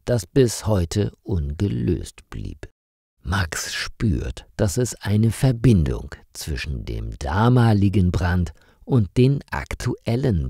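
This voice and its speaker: A man reading a scripted story for language learners in a measured, carefully articulated storytelling manner. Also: low-pitched, mellow, no room sound, clean studio sound. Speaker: narrator of stories for language learners.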